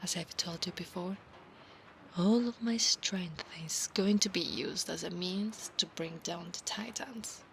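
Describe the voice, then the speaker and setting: A haughty, insistent centaur warrior maiden, acted by a woman in an ASMR roleplay. She speaks formally and commandingly at an unhurried pace. There is noticeable noise in the recording. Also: lowish voice, vocal fry, poor audio. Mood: soft, intimate. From Italy. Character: proud, insistent female centaur knight of a holy order.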